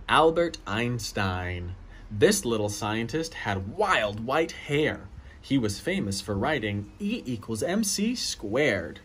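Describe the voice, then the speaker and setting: A man reads a children's picture book aloud in an expressive, encouraging way. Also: fairly high pitch, a little hiss or hum. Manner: expressive, encouraging, exclaims and gasps between pages. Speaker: children's book reader, host. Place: outdoor porch of a house.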